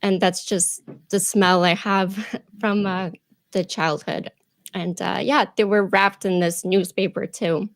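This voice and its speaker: Interview guest, a woman from Ukraine, native Russian speaker, mid-pitched voice, reflective. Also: fairly even intonation, slow, dry recording. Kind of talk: casual interview storytelling.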